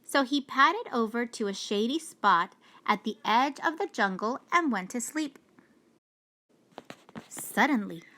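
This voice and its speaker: Slow, bright-voiced woman reading a storybook. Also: fairly high pitch. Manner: deliberate, expressive storytelling. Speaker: storybook reader. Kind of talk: children's read-aloud narration.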